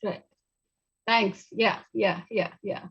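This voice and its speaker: A woman moderating a literary panel in a halting, conversational way. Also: mid-range voice, steady tone, husky, dull audio.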